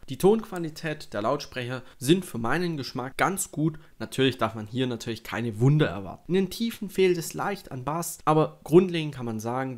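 A young adult man explaining things matter-of-factly in an informal review voice-over. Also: mid-range voice. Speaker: product reviewer.

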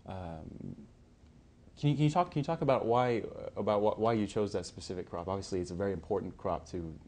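A young man interviewing a guest in a small studio, asking hesitant, halting questions with many restarts. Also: low-pitched, a little mellow. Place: small studio set indoors.

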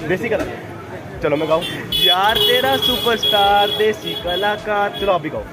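A man's voice: sits high, unhurried, heavy background noise. A young man with a sore throat, vlogging casually and playfully to a phone held at arm's length, first on a busy market street and then at home. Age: young adult. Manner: playful, teasing, keeps saying "guys" and "okay". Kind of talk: casual daily vlog. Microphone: phone camera held at arm's length.

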